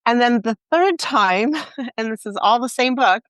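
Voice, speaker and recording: A woman's voice: on the high side, animated, unhurried, fairly bright tone, no room sound. A middle-aged woman, an author interviewed as a guest, speaking in a thoughtful, conversational way.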